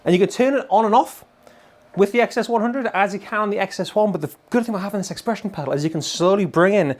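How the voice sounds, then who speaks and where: A man in his thirties giving an excitable, informal gear demo as a guitar reviewer. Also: high-pitched, fast. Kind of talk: enthusiastic casual product demo. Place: indoor guitar studio room. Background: electric guitar playing.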